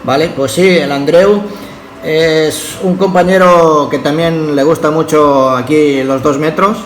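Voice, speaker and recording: A man's voice: fairly high pitch, steady tone, measured pace, faint music behind. A man making a casual ham radio contact, hesitating and often checking that he is understood. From Catalonia, Spain. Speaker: amateur radio operator. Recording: roomy echo with faint noise.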